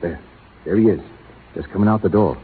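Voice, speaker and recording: An actor playing an eager, loyal cowboy sidekick with a folksy manner, 1930s radio drama style. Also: male voice, deep voice, fairly quick, lightly acted. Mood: anxious, loyal. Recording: tinny, hissy 1930s radio broadcast.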